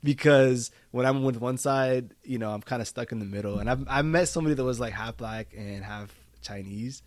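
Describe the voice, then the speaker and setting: A man's voice: mid-range voice, brisk, dry recording. An American man in a casual podcast discussion, rambling and emphatic, peppering his opinions with "you know" and "like". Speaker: podcast speaker.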